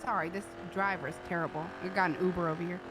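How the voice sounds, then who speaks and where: A woman improvising casual in-character chat in a role-play game, talking in an animated, chatty way. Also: lowish voice, fast talker. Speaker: role-play gamer voicing a car passenger. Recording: clear car engine noise.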